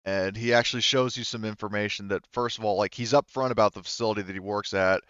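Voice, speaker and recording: A man narrating a tabletop roleplaying game as game master, in a halting, conversational way, rephrasing as he goes. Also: lowish voice, fairly even intonation, fairly bright tone, clean tone, no room sound, dull audio.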